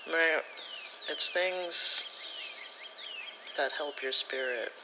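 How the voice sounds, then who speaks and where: A man's voice: on the high side, very slow, bright, forceful tone, a little hiss or hum. A man telling personal stories in a casual, candid, rambling way, with slangy, sweary phrasing. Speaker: spirituality content creator. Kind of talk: casual personal storytelling, ASMR vlog.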